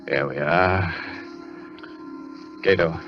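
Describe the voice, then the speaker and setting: An actor playing a deranged, obsessive doctor in an old-time radio thriller, theatrical and repetitive, swinging between muttering and ranting. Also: male voice, rumbling low, drawn-out words, exaggerated voice. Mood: muttering, confused. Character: deranged doctor asylum inmate, frantic, obsessive, menacing. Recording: dull old radio recording, music underneath.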